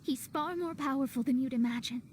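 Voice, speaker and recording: A woman's voice: sits high, a little fast, darkish tone, a little hiss or hum, lightly acted. A woman voicing a proud young astrologer in a video game, self-assured and theatrical. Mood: serious, proud.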